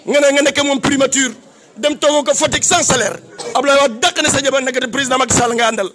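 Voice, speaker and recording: A man's voice: extremely high pitch, fairly even intonation, fast, a bit bright. A man with a Senegalese accent giving an impassioned public praise speech, declamatory and emphatic, with repeated phrases. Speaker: political activist giving a tribute.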